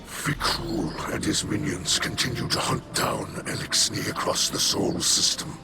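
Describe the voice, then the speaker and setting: A man's voice: mid-range voice, fairly even intonation, unhurried, creaky, loud music behind the voice. An actor playing an aged alien king in a video game, formal and solemn, pleading for help. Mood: grave. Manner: solemn, formal, speaks as if giving a royal oath. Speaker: king of his house. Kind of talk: video game cutscene voice acting. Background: loud orchestral game score.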